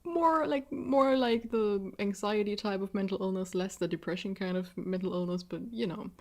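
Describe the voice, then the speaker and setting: A woman's voice: medium pitch, normal speed, darkish tone. A woman in her early twenties from southwest Germany, speaking English with a German accent, hosting a casual solo podcast and chatting in a self-deprecating way with frequent laughs. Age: 20s. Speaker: podcast host.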